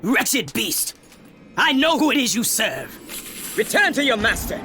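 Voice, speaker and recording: An actor playing a fierce, vengeful Greek warrior in a video game, with a forceful, menacing, declamatory delivery. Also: male voice, very high voice, big pitch swings, measured pace, full character voice. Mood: defiant rage. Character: fierce vengeful Greek warrior man in servitude to war god. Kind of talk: dramatic video game cutscene acting. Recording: loud dramatic music underneath.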